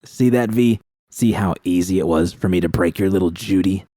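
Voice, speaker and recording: A man's voice: deep voice, moderate pace, dark tone, no echo, clean studio sound. A man voicing a menacing male gang captor in an audiobook, mocking and gloating.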